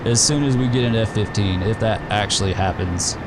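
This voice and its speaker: A slightly hoarse man hosting a flight sim stream, talking in a relaxed, rambling way with many fillers. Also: lowish voice, fairly quick, music in the background, poor audio. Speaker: gaming channel host. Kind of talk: casual gameplay commentary.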